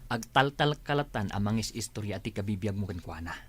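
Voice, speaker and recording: A man's voice: on the low side, animated, fairly quick, breathy edge. A man playing an argumentative, fault-finding village husband with an Ilocano accent in a comedy radio drama. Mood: accusing, taunting. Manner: argumentative, taunting.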